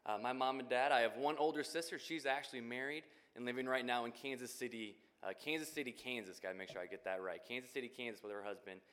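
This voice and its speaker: A man's voice: medium pitch, fast, hardly any pauses, a bit bright. A man in his twenties with an American accent giving an informal testimony to a congregation, conversational and full of casual asides. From Northwest Indiana, United States. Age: 20s. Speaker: youth minister candidate. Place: church, speaking to congregation.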